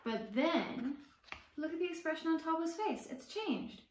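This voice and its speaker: A woman's voice: extremely high pitch, unhurried, recorded from a distance, slight background noise. A woman in her forties with a South African accent reading a picture book aloud expressively, with questions to young listeners. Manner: expressive, addressing children with questions. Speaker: children's book author and reader. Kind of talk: storybook read-aloud with asides to young listeners. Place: indoor room with bookshelves and plants. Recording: roomy echo, away from the mic.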